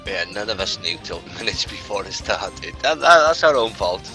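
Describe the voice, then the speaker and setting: A man's voice: fairly low pitch, very animated, moderate pace, pushed and bright. A man co-commentating a strategy game match, laughing often and giving blunt opinions on the players. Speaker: esports co-commentator. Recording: game music playing behind.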